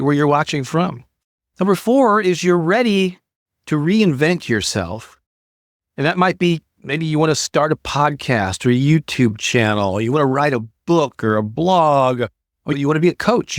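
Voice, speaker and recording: A man's voice: medium pitch, dry recording, studio quality. An older man hosting a motivational talk for an online audience, speaking in a conversational, encouraging way and stressing key words. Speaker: host, motivational creativity coach.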